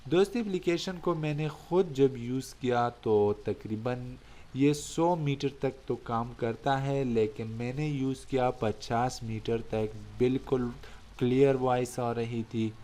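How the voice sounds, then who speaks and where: A man's voice: medium pitch, fairly even intonation, a little slow, mellow, poor audio. Man presenting a tech tutorial. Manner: addresses viewers as friends, explanatory and repetitive. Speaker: tech channel host. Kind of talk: app review and step-by-step tutorial.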